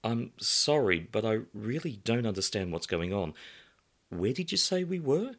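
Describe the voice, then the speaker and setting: A man's voice: fairly low pitch, big pitch swings, normal speed, voice pushed up. Male reader as bewildered storybook newcomer: timid, hesitant, questioning, with lilting intonation. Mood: confused. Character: timid, confused newly dead man.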